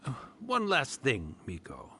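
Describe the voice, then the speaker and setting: A man's voice: medium pitch, huge pitch swings, measured pace, bright, forceful tone, a little hiss or hum, heavily acted. A man voicing a wistful, genial old yokai spirit in an anime game. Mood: hesitant.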